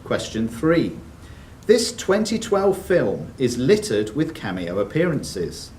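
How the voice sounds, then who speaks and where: Male quiz master, animated intonation, question-reading delivery; distant, echoey room recording. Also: mid-range voice, slow. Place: indoors in a club room, mic at a distance. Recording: roomy hall, distant mic, faint noise.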